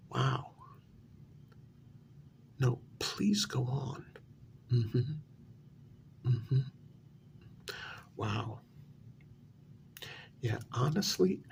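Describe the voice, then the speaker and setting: A man playing an eerily calm asylum patient in a soft ASMR role-play, breathy and gravelly, with a measured, hesitant, quietly condescending delivery. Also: medium pitch, a little hiss or hum, exaggerated voice. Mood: wryly exasperated. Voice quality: gravelly, breathy, half-whispered. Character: eerily calm male asylum patient who believes he creates reality.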